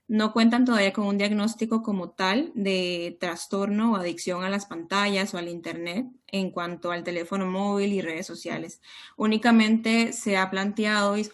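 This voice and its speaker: A young adult woman, a psychologist guest explaining things in a measured way in a podcast interview. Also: mid-range voice. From Peru, raised in Costa Rica.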